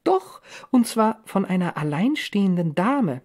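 A woman's voice: medium pitch, sing-song, measured pace, a little mellow, pitched above the performer's own voice. A woman reading an audiobook in the role of an eager, chatty young woman full of village gossip. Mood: excited gossip. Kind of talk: audiobook character dialogue.

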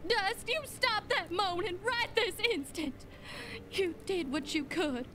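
A folksy motherly village woman in a game cutscene. Her voice is high and homely, with faint rumble underneath. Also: expressive, slow pace, a bit bright, full character voice. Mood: scolding. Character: grieving motherly villager woman, kind but firm.

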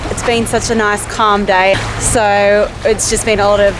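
A woman's voice: medium pitch, flat delivery, unhurried, low-quality recording. A woman in her thirties narrating a travel vlog in a chatty, upbeat way, filming herself at arm's length outdoors on a boat at sea. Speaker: travel vlogger. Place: outdoors on a sailing catamaran at sea. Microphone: camera held at arm's length. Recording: music playing underneath.

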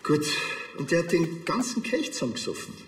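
An actor playing a blunt, sardonic village policeman in a television crime comedy, deadpan and colloquial. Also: a little high, brisk, reverberant, soft background music.

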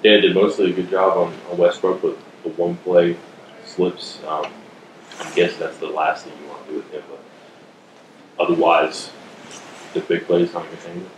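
A man's voice: low-pitched, little pitch movement, measured pace, long pauses. A man, a sports reporter putting questions at a press conference. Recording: echoey room, faint noise.

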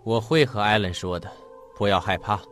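A man's voice: fairly low pitch, normal speed, fairly bright tone, faint music behind, close to an everyday voice. An actor playing a paternal, somewhat uneasy middle-aged husband and father in a radio drama. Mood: reassuring, fatherly.

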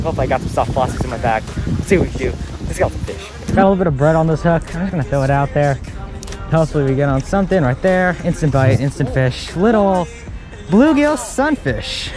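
A young man hosting a fishing vlog outdoors, talking excitedly to a handheld camera as he narrates each catch. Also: a little high, rapid-fire, over music. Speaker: fishing vlogger host. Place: outdoors by a pond and city river.

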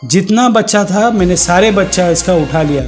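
A man's voice: high-pitched, normal speed, faint music behind. A middle-aged man who runs a fish farm, explaining his fish to a visitor in a chatty way and walking through examples, in an indoor room full of tanks, speaking into a handheld mic.

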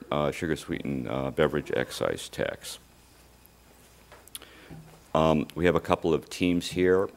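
An older man giving a conference presentation at a lectern microphone, his voice lowered from illness, speaking haltingly with many uhs. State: voice lower than normal from illness. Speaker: research presenter.

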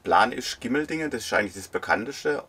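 A man's voice: mid-range voice, quick pace, slightly rough. A man in his fifties chatting casually inside a camper van and narrating a travel vlog. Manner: relaxed, chatty, agrees often. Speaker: travel vlogger. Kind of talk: casual chat and voice-over narration.

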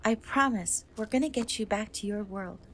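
A woman voicing a kind, timid half-cat young woman in a soft, hesitant fantasy ASMR roleplay. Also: medium pitch, normal speed, lightly acted. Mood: reassuring. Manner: hesitant, soft-spoken, often slipping into whisper. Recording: noticeable background noise and ambience.

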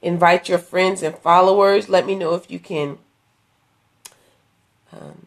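A middle-aged woman, hoarse from a cold, chatting earnestly into a phone at arm's length in a dim room, inviting viewers to an event. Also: on the low side, fairly even intonation, measured pace. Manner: chatty, earnest, with many fillers and restarts. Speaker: ministry leader promoting an event. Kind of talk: casual live-stream invitation. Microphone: phone held at arm's length.

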